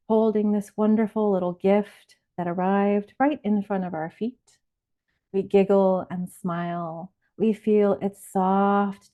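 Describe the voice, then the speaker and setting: Phone-like recording of a woman guiding relaxation. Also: mid-range voice. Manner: soothing, unhurried phrasing. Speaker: laughter yoga leader, meditation guide. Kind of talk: guided visualization, relaxation. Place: live online or group session recording. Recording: band-limited, phone-like sound.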